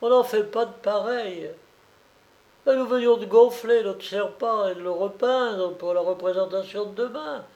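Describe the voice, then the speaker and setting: A man reading a storybook aloud, voicing a huge, puffing fairground woman who scolds in shrill shrieks. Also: moderate pace, darkish tone, highs cut off. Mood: mocking. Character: huge puffing woman showman, furious and loud.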